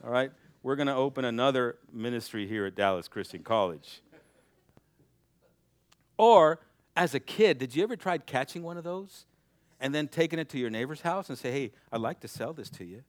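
A young adult man preaching a sermon, swinging from loud, shouted exhortation to quiet, intimate passages. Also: mid-range voice, animated, moderate pace, no room sound.

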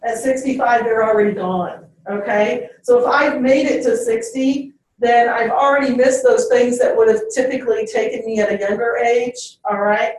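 A woman's voice: on the high side, fairly even intonation, moderate pace, few pauses, a little mellow, slight background noise. A woman teacher giving a classroom lecture, checking in with "okay?" after each point. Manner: ends phrases with "okay?". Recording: very echoey classroom, distant mic.

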